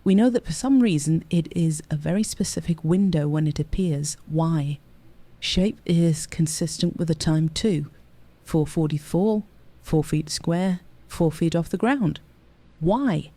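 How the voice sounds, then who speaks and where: An actor playing an earnest, analytical investigator who explains things methodically, in an audio drama. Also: male voice, on the high side, measured pace, dark tone. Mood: earnest, puzzling.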